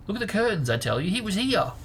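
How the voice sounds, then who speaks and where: Storybook teen boy, played by a man: frightened, insistent, pitched well up, some background noise. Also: very animated, fast, fairly bright tone. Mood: panicked, insistent. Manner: urgent, insistent. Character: frightened teenage boy insisting on what he saw. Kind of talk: storybook read-aloud.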